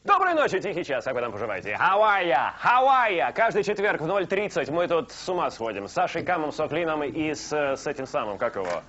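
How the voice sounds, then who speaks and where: Casting: young male late-night host, slightly high voice, wildly lively delivery, teasing jokes, dull lo-fi broadcast tape. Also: a little fast, few pauses. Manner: joking, teasing, lively and animated. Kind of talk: playful live studio banter. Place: studio set, on a sofa.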